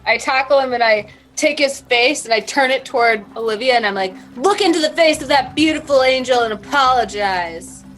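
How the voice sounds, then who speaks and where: A woman's voice: high-pitched, brisk, hardly any pauses, fairly bright tone, soft background music. Bold woman playing a tabletop game. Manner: bold, playful in character. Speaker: player. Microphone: video call.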